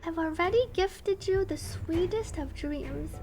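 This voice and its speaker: A woman's voice: very high-pitched, a little slow, darkish tone, soft background music. A woman game streamer commenting on play and reading dialogue aloud.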